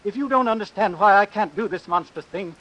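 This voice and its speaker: An actor playing an earnest, devout man with a husky voice, hesitant yet morally firm, in a vintage radio drama. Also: high-pitched, a little fast, very noisy, close to an everyday voice. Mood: firm, sorrowful.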